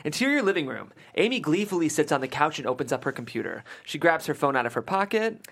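A man's voice: fairly high pitch, sing-song, quick pace, vocal fry, fairly bright tone. A man hosting and reading script directions aloud, upbeat and chatty in his presenting. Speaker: show host and stage-direction reader.

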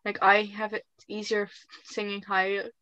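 A woman's voice: mid-range voice, monotone, moderate pace, pushed and bright, clean tone, dry recording, highs cut off. A woman chatting casually with a co-host about favorite songs, often giggling. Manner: giggly, breaks into singing lines. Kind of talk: casual fan chat.

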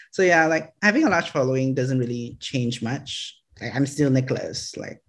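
A man's voice: mid-range voice, measured pace, fairly bright tone. A young man from Malaysia, chatting in an interview, rambling with lots of "like" fillers and frequent laughs. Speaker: social media creator, guest. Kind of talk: casual interview chat.

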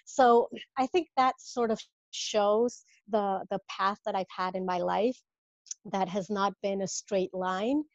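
A woman's voice: medium pitch, slow pace, dry recording, dull audio. A woman in her fifties from Mexico, a business coach, talking through her career in a casual interview with many fillers and restarts.

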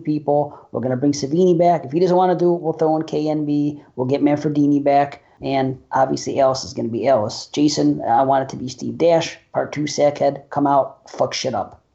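A man's voice: on the high side, creaky, mellow. Guy on a podcast rambling and swearing a lot, dull lo-fi audio. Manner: loose, profane, enthusiastic rambling. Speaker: podcast co-host. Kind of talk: casual chat.

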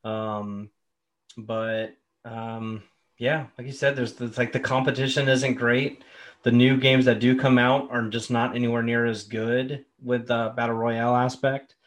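A man hosting a casual gaming chat, relaxed and full of fillers like "um" and "like". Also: medium pitch, measured pace. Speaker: podcast host.

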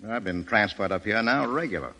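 An actor playing a gruff but decent demoted detective, terse and downbeat, in a 1930s radio drama. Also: male voice, lowish voice, expressive, brisk, pushed and bright, a little hiss or hum. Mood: weary, guarded. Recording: vintage radio recording, long reverb, faint music.

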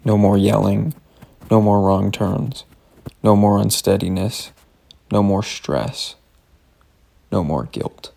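A man's voice: lowish voice, takes it slowly, vocal fry, pauses often, mellow. A man reading a scripted first-person story aloud, in the form of a personal letter. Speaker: reader of a written story.

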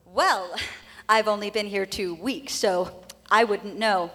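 A woman's voice: mid-range voice, steady tone, takes it slowly, sharp tone. A woman playing a perky but unhelpful dealership receptionist in a live audio comedy, with sing-song customer-service cheer. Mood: vague, unhelpful. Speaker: dealership parts counter clerk. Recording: live stage with faint noise.

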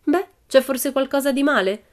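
A woman's voice: high voice, moderate pace, voice pushed up, lightly acted. A woman voicing a bold, cheerful little red-haired girl who tells breezy tall tales in a storybook reading.

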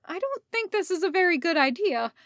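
A woman's voice: sing-song, fairly quick, fairly bright tone, no echo, clean studio sound, heavily acted. A woman voicing a sarcastic, easily flustered young male Jedi who babbles when nervous. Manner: babbling, sarcastic and high-strung.

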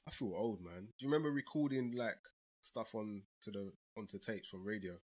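A man hosting a podcast, a bit congested from a cold, chatty and relaxed. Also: lowish voice, brisk. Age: 30s. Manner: relaxed, chatty, laughs often. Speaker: podcast host. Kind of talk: casual chat among old friends.

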